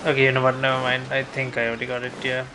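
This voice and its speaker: A man giving casual, unhurried gameplay commentary, thinking aloud as he goes. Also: medium pitch, monotone, noisy background. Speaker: game streamer. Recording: game music behind voice. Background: video game music.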